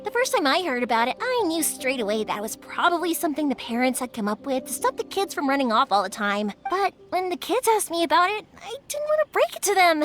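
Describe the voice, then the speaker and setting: An actress voicing a cheerful, spirited young woman who makes fireworks, talking in a lively, chatty, big-sisterly way. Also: high voice. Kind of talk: game voice acting. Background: game music.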